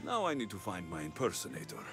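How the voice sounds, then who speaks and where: Blunt mercenary hero, expressive delivery. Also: male voice, deep voice, fast, music in the background. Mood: calm, resolved. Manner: blunt, dry, terse. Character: blunt, impatient male mercenary warrior hero. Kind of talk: video game cutscene acting.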